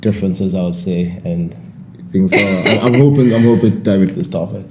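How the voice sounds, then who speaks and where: A young man from Kenya, a guest on a podcast, telling stories in an animated way. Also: deep voice, fairly quick. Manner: animated storyteller, laughs. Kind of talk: casual podcast chat. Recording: echoey room with faint noise.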